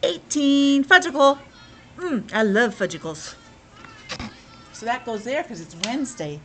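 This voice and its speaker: A woman's voice: high-pitched, expressive, a little slow, a bit bright. A woman teaching young children in a playful, exclamatory way, asking questions and sounding out words. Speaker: preschool teacher. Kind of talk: interactive lesson for young children.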